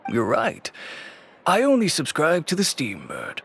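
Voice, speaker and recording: A man playing a cautious, middle-aged shop owner in a video game, speaking in a measured, wry way. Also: a little high, huge pitch swings, vocal fry, a little hiss or hum, exaggerated voice. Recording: game audio with soft music. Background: soft game music.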